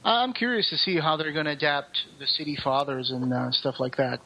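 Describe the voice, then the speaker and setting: A man's voice: fairly high pitch, fairly quick, pushed and bright, poor audio. A man co-hosting a podcast in casual chat, talking with enthusiasm and firm opinions. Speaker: podcast co-host.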